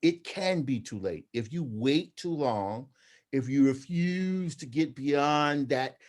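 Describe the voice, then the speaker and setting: Earnest middle-aged man, mid-pitched voice. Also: lively intonation, slow pace. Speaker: interview guest, graphic novelist and artist. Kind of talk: conversational interview answers.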